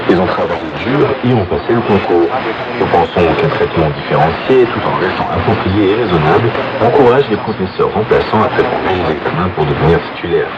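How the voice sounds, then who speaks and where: A man reading a voice-over for a radio news report, heard on shortwave. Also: deep voice. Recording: very noisy, dull shortwave radio.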